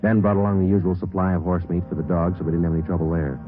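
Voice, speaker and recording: A man's voice: deep voice, little pitch movement, rapid-fire, natural voice. An actor playing a terse, unemotional police detective, narrating his case in a clipped, matter-of-fact way in an old radio drama. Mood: flat, businesslike. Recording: muffled old radio recording with music.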